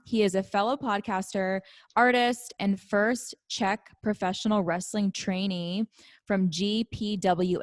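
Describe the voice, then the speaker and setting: A woman's voice: mid-range voice, no room sound. Upbeat woman podcast host, dry sound. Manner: upbeat, with frequent soft backchannels. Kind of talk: podcast interview.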